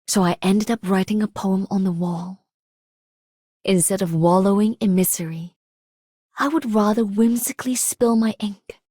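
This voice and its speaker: A woman's voice: mid-range voice, normal speed, mellow, slightly rough, dry recording, clean studio sound, close to an everyday voice. A woman playing a melancholy, whimsical poet in a video game, speaking in a literary, contemplative manner. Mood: contemplative, wistful. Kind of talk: video game character voice acting.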